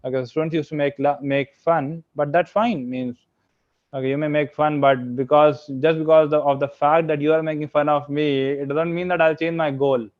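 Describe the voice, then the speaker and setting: A man's voice: fairly high pitch, steady tone, normal speed, narrow, tinny audio. A young man with an Indian accent giving an online lecture with slides. He keeps filling his sentences with "okay" and "means" and puts questions to the audience. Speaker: guest speaker, software engineer.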